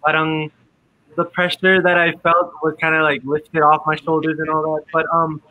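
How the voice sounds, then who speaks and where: A man's voice: a little high, monotone, normal speed, bright, forceful tone, no room sound, rough recording. A man in his twenties with a Filipino accent, a guest singer chatting easily on the radio and slipping between English and Tagalog. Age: 20s. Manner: easygoing, mixes English with Tagalog, many fillers. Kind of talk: casual radio interview.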